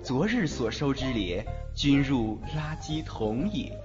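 A man's voice: medium pitch, sing-song, slow pace, highs cut off. A man acting in an audio drama as a brash but doting, teasing teenage schoolboy. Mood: playful teasing. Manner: teasing, affectionate. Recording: band-limited, background music throughout.

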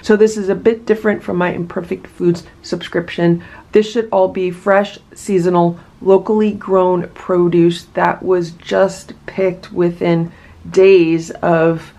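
A woman's voice: fairly low pitch, little pitch movement, measured pace, dark tone. A woman in casual vlog talk, chatty and enthusiastic as she unboxes a farm box at home. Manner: enthusiastic, chatty, many asides. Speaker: vlogger unboxing a produce box.

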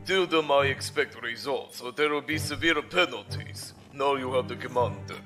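An actor playing a stern, arrogant commodore of a space base, speaking in a formal, pompous, commanding way in an audio drama. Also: male voice, mid-range voice, normal speed, pushed and bright, faint music behind, lightly acted. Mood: cold, sardonic.

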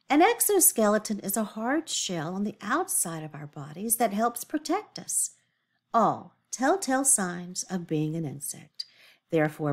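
A woman teacher reading a picture book aloud to young children in an expressive, deliberate way, pausing to explain and ask questions. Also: mid-range voice.

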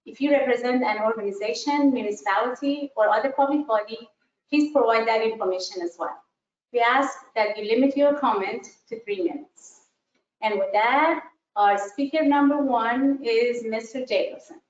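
A woman with a fairly high voice moderates a public hearing and calls up speakers. She sounds distant in a reverberant room, and the sound is dull. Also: moderate pace. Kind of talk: announcing speakers. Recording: distant, roomy, muffled hall mic.

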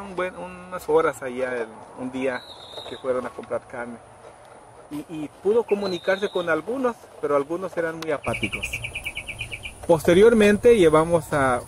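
A middle-aged man casually telling a story outdoors, acting out quoted people with sudden high pitched voices. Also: lively intonation, normal speed. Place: outdoors under trees in a rural yard. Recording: outdoor noise in background.

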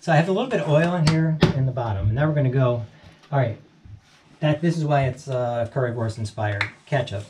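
A man's voice: mid-range voice, fairly quick. Chatty older man cooking at home. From United States. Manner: chatty, self-correcting, narrates each step as he cooks. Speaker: home cooking show host. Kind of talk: casual cooking vlog. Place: small home kitchen, indoors. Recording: noisy home kitchen with frying sizzle. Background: frying and sizzling.

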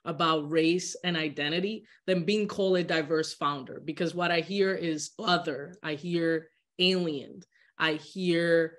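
A woman's voice: deep voice, fairly even intonation, unhurried, fairly bright tone. A young woman from the Dominican Republic, a guest on a video call interview, speaking earnestly and often restarting words as she thinks. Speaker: guest, social entrepreneur. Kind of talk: candid interview conversation. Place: home living room.

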